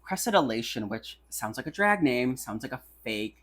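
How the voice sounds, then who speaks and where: A woman's voice: very deep voice, sing-song, moderate pace. A woman hosting a casual chat podcast, wry and gossipy, with a stammer that makes her restart words. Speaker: podcast host.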